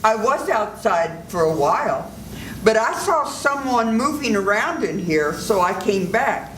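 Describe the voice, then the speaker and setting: A woman's voice: mid-range voice, very animated, a little slow, fairly bright tone, echoey room, a little hiss or hum, low-quality recording. An older woman voicing a cool, evasive woman doctor in an amateur live radio play.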